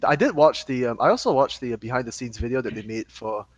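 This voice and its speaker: A young man from Malaysia co-hosting a podcast, talking fast in a casual, sarcastic way and laughing often. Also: mid-range voice, expressive, dry recording, muffled recording. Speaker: podcast co-host. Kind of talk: casual chat.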